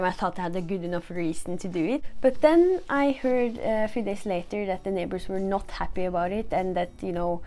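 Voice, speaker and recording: A woman's voice: medium pitch, darkish tone. A young woman from Norway, a vlogger chatting casually to camera with lots of "like" and "you know".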